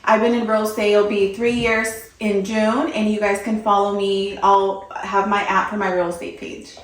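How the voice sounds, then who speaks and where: A woman's voice: mid-range voice, little pitch movement, moderate pace, heavy reverb, poor audio. A young woman from Mexico, a realtor guest on a podcast, answering questions in a friendly, upbeat way with some fillers. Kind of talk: interview answers.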